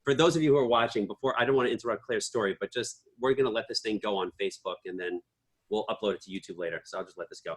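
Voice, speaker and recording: A man co-hosting a podcast in casual chat, joking and teasing at a slow, relaxed pace. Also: lowish voice, breathy edge. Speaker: podcast co-host.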